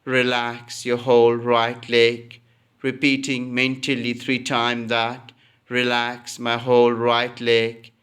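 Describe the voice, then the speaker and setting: Very slow, smooth-voiced man guiding relaxation. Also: mid-range voice. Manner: calm, instructional, repeating phrases. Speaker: yoga nidra teacher. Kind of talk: guided relaxation meditation.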